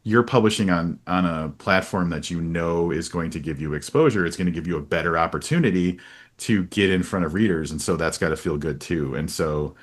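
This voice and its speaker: Deep-voiced man, casual podcast host. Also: a little fast. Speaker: podcast host interviewing a publisher. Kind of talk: casual book-talk interview.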